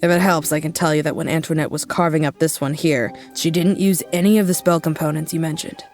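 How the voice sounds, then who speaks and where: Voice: mid-range voice, steady tone, quick pace, darkish tone, soft background music, lightly acted. An actor playing a cocky, blunt, streetwise gambler in an audio drama, talking casually and irreverently. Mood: matter-of-fact. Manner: casual, irreverent, plain-spoken. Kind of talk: dramatic audio fiction acting.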